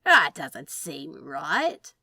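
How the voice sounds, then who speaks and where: A woman acts out a laid-back male demon from a storybook. He reasons aloud slowly and casually, with a sceptical streak. The intonation swings widely, and the sound is dry and close. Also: low voice, very animated, vocal fry, sharp tone, husky, no room sound, voice pushed down, exaggerated voice. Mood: reasoning, sceptical.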